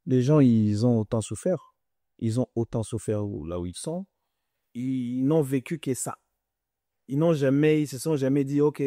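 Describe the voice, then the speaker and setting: An earnest man from Goma in the Congo, talking in an interview with a mellow, dark voice. He speaks reflectively, repeating himself as he searches for words, recorded close and dry. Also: mid-range voice, no echo. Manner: earnest, reflective, many repetitions and restarts. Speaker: musician guest. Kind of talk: interview conversation.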